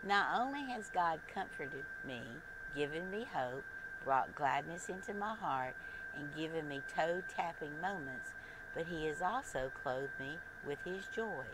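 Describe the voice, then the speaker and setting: An older woman earnestly reading a scripted devotional in a deliberate way. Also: deep voice, animated. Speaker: devotional host. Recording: clearly noisy recording with ambient sound.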